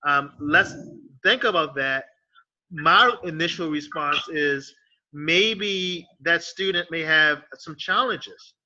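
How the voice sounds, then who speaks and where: Man, moderator, very bright tense tone, muffled lo-fi sound, stammering starts. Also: fairly high pitch, slow pace. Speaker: discussion moderator. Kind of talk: online group discussion. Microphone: video call.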